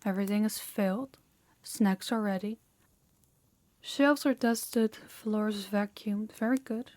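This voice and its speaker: A woman's voice: mid-range voice, steady tone, slow, a little mellow, dry recording, natural voice. Woman acting an imperious female mafia boss. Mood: exacting, impatient. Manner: imperious, clipped orders with patronizing praise. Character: dominant, commanding female mafia boss, stern and condescending. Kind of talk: audio roleplay addressed to the listener.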